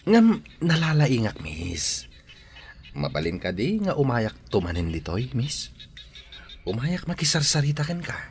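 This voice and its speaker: A man with an Ilocano accent acting an eager, polite working man in a radio drama, with a husky voice. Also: mid-range voice, wildly swooping pitch, unhurried, pauses often, a little hiss or hum.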